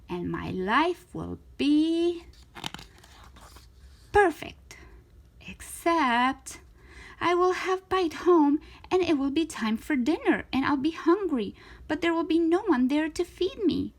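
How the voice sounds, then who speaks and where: A woman reads a picture book aloud for children. Her voice sits on the high side and moves up and down with lots of expression. She is theatrical in delivery, leaning on key words and drawing them out. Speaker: storytime reader of children's books.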